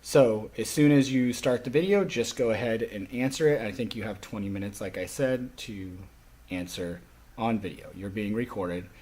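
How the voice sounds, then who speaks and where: A man speaking as a college instructor, explaining course rules to a class in an instructional way with frequent short pauses. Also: on the low side, a little mellow. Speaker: college sign language instructor.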